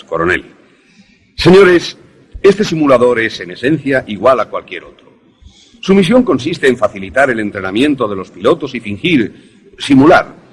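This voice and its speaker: A man acts as a self-important professor in a suspense radio drama. His husky voice rises and falls widely as he lectures. The delivery is didactic and emphatic, proud of his learning. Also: mid-range voice.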